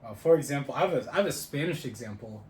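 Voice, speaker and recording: A man's voice: mid-range voice, fast. Chatty young man in an echoey room. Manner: relaxed, chatty, quizzes his friend. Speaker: podcast co-host. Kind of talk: casual chat. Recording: roomy echo, faint ambient noise.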